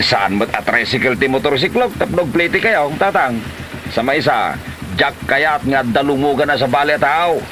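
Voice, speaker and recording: A man's voice: medium pitch, lively intonation, moderate pace, a bit bright, a little hiss or hum, natural voice. A man with an Ilocano Filipino accent playing a stubborn, stingy, defensive husband who bickers in a comedy drama. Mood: dismissive. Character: stubborn, stingy husband obsessed with his motorcycle.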